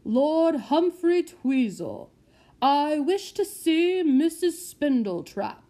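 A woman voicing a haughty, stiff aristocratic gentleman who speaks slowly and commandingly. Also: sits high, very animated, voice pushed up, heavily acted.

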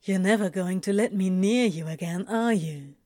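A woman plays a relaxed, playful male yoga teacher in an audio story. The tone is soft and mellow, close and dry, with gentle encouragement and teasing reassurance. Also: medium pitch, normal speed, no echo, lightly acted. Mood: teasing, encouraging. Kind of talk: audio fiction character acting.

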